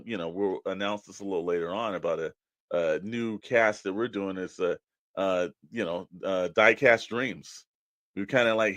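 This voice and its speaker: A man's voice: lowish voice, fairly bright tone, no echo. An American man co-hosting a podcast in a casual, conversational style. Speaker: podcast co-host. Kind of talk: casual toy podcast chat.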